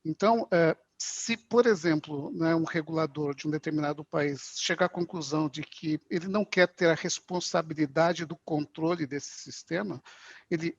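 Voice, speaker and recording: A man's voice: a little high, vocal fry, husky, no room sound. A man with a Brazilian accent presenting conversationally in a webinar, informal, often adding little checking tags. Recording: dull, lo-fi online call audio.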